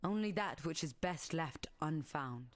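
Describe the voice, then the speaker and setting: An actress playing a grave, dignified madam of a wasteland refuge in a video game, speaking in a measured, solemn way. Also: female voice, sits low, expressive. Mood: grim. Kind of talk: scripted game character dialogue. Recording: music behind the voice.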